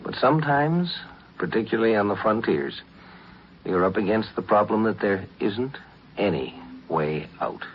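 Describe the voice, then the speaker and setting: Casting: male narrator, lowish voice, measured and grave scripted delivery, 1950s radio sound with faint noise. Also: animated. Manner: deliberate, solemn. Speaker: narrator and host. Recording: noisy, muffled 1950s radio recording.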